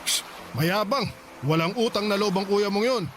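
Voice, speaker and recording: Freeloading dad, played by a man, middle-aged, Filipino accent, higher register, oily and boastful radio drama delivery. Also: normal speed, a bit bright, slight background noise. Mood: scornful. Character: freeloading, boastful older father, wheedling and self-serving.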